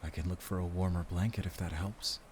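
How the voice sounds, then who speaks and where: A man playing a weary, protective werewolf hunter in an audio roleplay, earnest and soft-spoken. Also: sits low, steady tone, fairly quick, a little hiss or hum, lightly acted. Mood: tender, tired. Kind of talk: intimate cinematic audio roleplay.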